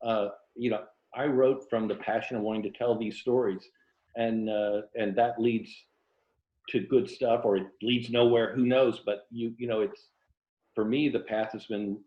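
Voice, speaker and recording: A man's voice: medium pitch, fairly even intonation, a little slow. Older american guy talking casually as an interview guest, muffled echoey room sound. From Tampa, Florida, United States. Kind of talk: casual literary interview chat.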